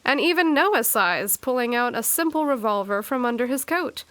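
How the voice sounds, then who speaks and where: A woman's voice: fairly high pitch, expressive, normal speed, fairly bright tone. A woman playing a wry, chatty narrator in a murder mystery audio drama, with playful asides. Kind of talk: playful, conversational story narration.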